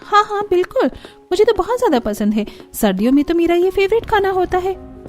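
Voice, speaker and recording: A woman's voice: very high voice, very animated, a little fast, faint music behind, voice pushed up. A woman voicing a curious, eager young daughter-in-law in a cartoon story, puzzled and full of questions. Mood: excited.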